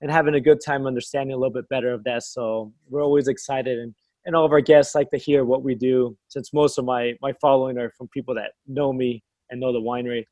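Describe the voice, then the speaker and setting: A man's voice: mid-range voice, fairly even intonation, fast, warm tone, dry recording. Friendly chatty man, dry close sound. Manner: friendly, chatty, laughs often. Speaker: winery owner and interviewer. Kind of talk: casual conversational interview.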